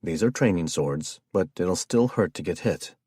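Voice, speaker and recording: A man voicing a calm, gruff male fighter and leader who speaks curtly and deadpan. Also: low-pitched, normal speed, no echo, studio quality. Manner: flat, deadpan and curt.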